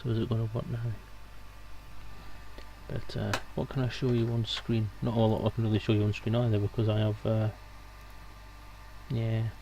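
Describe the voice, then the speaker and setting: A man's voice: lowish voice, level tone, moderate pace, creaky, long pauses, lo-fi sound, noisy background. A man hosting a live stream in casual, unscripted, rambling chat.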